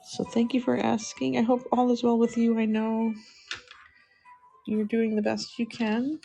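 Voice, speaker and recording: A middle-aged woman chatting softly and unhurriedly with viewers while she draws on a livestream. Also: on the high side, flat delivery, unhurried, creaky, soft background music, rough recording. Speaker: live-streaming artist. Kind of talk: casual livestream chat while drawing.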